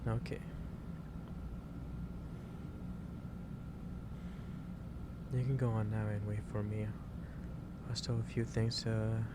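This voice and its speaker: Guy doing a kind office boss asmr rp, halting n reassuring, pretty monotone, really noisy recording. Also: fairly low pitch, close to an everyday voice. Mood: calm. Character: gentle, caring male office boss, softly lecturing. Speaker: ASMR roleplay performer. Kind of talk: improvised ASMR roleplay, one-sided conversation. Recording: steady loud background noise.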